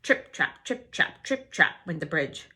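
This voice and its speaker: A woman teacher reading a storybook aloud and teaching children, expressive, posing questions to her listeners. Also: sits low, a little slow. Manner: expressive, asks listeners questions and answers them.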